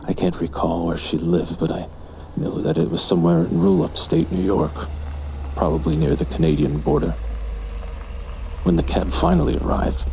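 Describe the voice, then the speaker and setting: A man playing a brooding, lustful, menacing narrator in a horror audio drama, with slow, deliberate, breathy delivery. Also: low-pitched, a little mellow, a little hiss or hum, full character voice. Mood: brooding, smug. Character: brooding, lustful, menacing male narrator recounting a dark memory.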